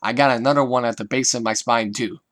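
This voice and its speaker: A man's voice: medium pitch, fairly even intonation, fast talker, fairly bright tone, close to an everyday voice. An easygoing teenage girl, read by a man in a story. The delivery is casual and a little hesitant, with a guarded temper. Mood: resigned.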